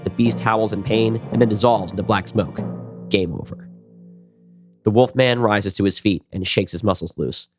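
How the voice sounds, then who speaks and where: A man's voice: lowish voice, moderate pace, faint music behind. A man narrating a scripted audio drama in a casual, playful style.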